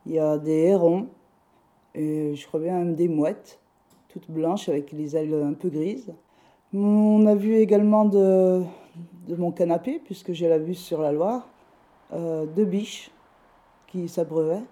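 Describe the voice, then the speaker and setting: Woman with a very dark, low voice. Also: steady tone, measured pace. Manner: dreamy, admiring musing. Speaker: local resident. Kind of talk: personal reflection.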